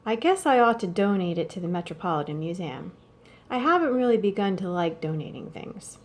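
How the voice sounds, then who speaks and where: A woman's voice: medium pitch, natural voice. A woman voicing a wry, bossy old wealthy woman from a storybook in a dry, matter-of-fact way. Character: wry, imperious old wealthy woman dictating her wishes.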